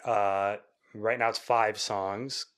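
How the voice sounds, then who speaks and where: A young man, a musician from Canada, talking casually in an interview, rambling with lots of fillers and quick laughs. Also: fairly low pitch, steady tone, a bit bright, clear, resonant tone. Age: young adult. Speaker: musician guest.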